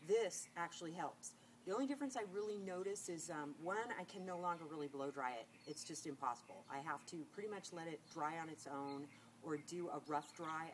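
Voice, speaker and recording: A middle-aged woman, nasal from a cold, chatting casually to camera and rambling with many fillers and restarts. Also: on the low side. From California. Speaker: beauty vlogger. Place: outdoors against a sunlit wall. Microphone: camera on a tripod. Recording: noisy outdoor camera audio.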